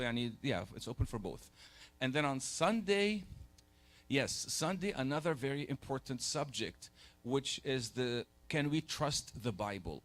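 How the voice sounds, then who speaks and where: Egyptian-born man, forties, church lecturer, relaxed and chatty, mid-pitched voice. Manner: conversational, pauses to rephrase, takes questions. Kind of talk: church lecture to a live group. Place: church hall.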